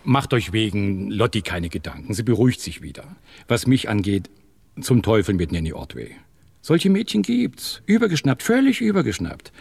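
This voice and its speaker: A man's voice: on the low side, sing-song, normal speed, gravelly, darkish tone, husky, heavily acted. An actor playing a blunt, breezy, reassuring male friend in a German radio drama, exclaiming as he goes.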